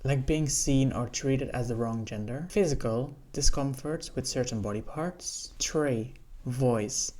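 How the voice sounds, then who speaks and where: A woman with a lower-pitched voice, sharing personal reflections in an educational vlog, earnest and candid. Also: a little mellow. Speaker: vlogger.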